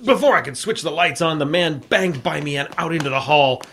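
An actor playing a terse, wry adventurer and reporter in an old-time radio noir drama, clipped and deadpan. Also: male voice, a little high, a little fast, noisy background, lightly acted. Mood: deadpan narration. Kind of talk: old-time radio noir acting and first-person narration.

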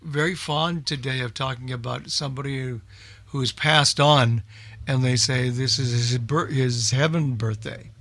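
A man's voice: medium pitch, steady tone, normal speed, a bit bright. A man presenting a radio show in casual group chat, thinking aloud in a hesitant way.

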